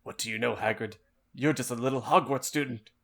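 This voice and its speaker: A man's voice: medium pitch, fairly quick, gravelly, a bit bright. A man voicing overwrought, theatrical wizard characters in a comedic audiobook reading. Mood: indignant. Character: melodramatic male wizards and vampire boy, excitable.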